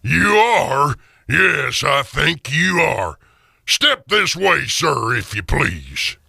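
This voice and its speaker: A man voicing a gruff but giddily kind old miser in a radio drama, with a growling voice and halting, folksy speech. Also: fairly high pitch, sing-song, bright, forceful tone, no echo, studio quality, voice pushed up, full character voice. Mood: mock stern. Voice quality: creaky, growling. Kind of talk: audio drama of a classic Christmas story.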